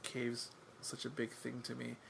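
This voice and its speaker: A man's voice: medium pitch, monotone, a little slow, creaky, fairly bright tone, a little hiss or hum, low-quality recording. A man chatting casually as a podcast guest, wry and self-deprecating, rambling with lots of fillers. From England.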